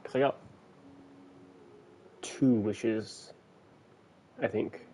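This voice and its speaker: A man's voice: lowish voice, extremely slow, mellow, slight background noise. A man giving casual, informal commentary as he livestreams a video game, thinking aloud as he plays.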